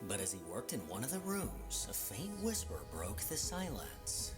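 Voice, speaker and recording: Guy reading a spooky countdown script, dramatic, loud music behind. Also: medium pitch, sing-song, moderate pace. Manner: dramatic storytelling. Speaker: narrator of a ghost countdown video.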